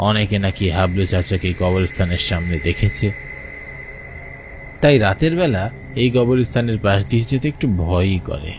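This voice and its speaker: A man's voice: low-pitched, moderate pace, over music. Man acting a frightened village fisherman telling a ghost story. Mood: uneasy storytelling. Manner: suspenseful narration with urgent, anxious dialogue. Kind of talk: dramatic first-person horror storytelling for a cartoon.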